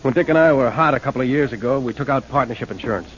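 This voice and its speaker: Male actor for gloomy, anxious songwriter, rapid delivery, vintage radio serial with dull sound. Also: mid-range voice, close to an everyday voice. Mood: glum, worried. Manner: downcast, resigned. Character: glum, worried male songwriter suspected of murder. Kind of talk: 1940s radio drama acting. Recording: dull 1940s radio recording.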